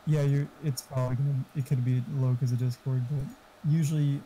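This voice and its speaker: A man with a very dark, mellow voice chats casually and explains tech in an informal way. He comes over online voice chat, a little muffled, with faint noise and keyboard sounds behind him. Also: mid-range voice, little pitch movement, brisk. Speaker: friend giving streaming tech advice.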